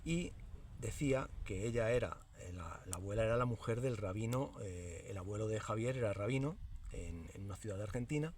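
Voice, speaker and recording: A man's voice: lowish voice, expressive, brisk, a little mellow, distant mic, slight background noise. A man in his fifties from Spain, with a Madrid accent, talking through a reflective monologue in a hesitant way, with many fillers and restarts. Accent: Madrid Spanish. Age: 50s. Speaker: spiritual teacher and video host.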